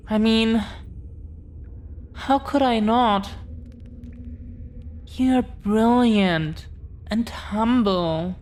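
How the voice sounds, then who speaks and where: A woman's voice: mid-range voice, darkish tone, slight background noise. A woman playing a nervous, protective dragon-blooded girlfriend in an intimate audio roleplay, speaking hesitantly, earnestly and tenderly.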